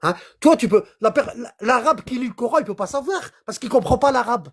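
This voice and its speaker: A livestreamer in casual commentary, explaining scripture in an animated way and often checking in with short questions to the listener. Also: medium pitch, brisk. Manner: animated, acts out little dialogues, keeps checking in with "hein?" and "d'accord?". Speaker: livestreamer explaining Quran verses.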